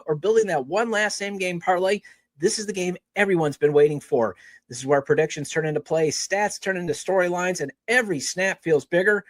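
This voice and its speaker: A man's voice: high-pitched, no room sound. A man reading scripted sponsor and show promos as a sports podcast host. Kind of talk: scripted ad read.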